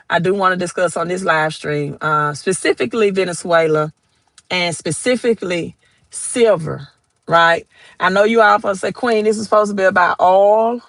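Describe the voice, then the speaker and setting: A woman with a Southern US accent chats unhurriedly into her phone on a livestream. Her voice is bright and dry, with no room echo, and she greets viewers by name and reads their comments aloud. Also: mid-range voice. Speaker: crypto livestream host. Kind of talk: casual livestream chat. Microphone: phone held vertically.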